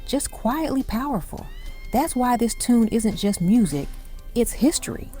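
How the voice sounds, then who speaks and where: A woman's voice: a little high, expressive, measured pace. A woman reading scripted documentary-style narration for a music countdown, in dramatic, punchy short phrases. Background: music behind the voice.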